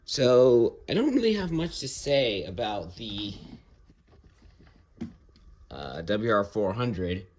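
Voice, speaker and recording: A man giving a casual, unscripted review of his hobby collection, talking haltingly and pausing mid-phrase. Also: fairly low pitch, sing-song, a little mellow, slight background noise. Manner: halting, rambling delivery with pauses mid-phrase.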